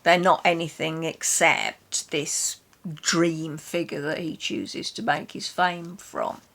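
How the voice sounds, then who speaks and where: A British woman hosts an informal chat about poetry. Her voice sits a little low and she takes her time over her words. She is wry and opinionated as she talks. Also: fairly even intonation, slow pace, vocal fry, fairly bright tone. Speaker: host of a poetry reading and book chat. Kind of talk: casual literary chat.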